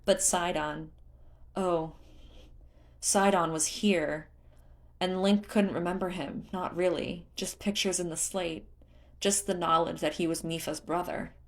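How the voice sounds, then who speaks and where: A woman reading a story aloud in an expressive, scripted narration style. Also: on the low side, measured pace. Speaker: fan fiction narrator.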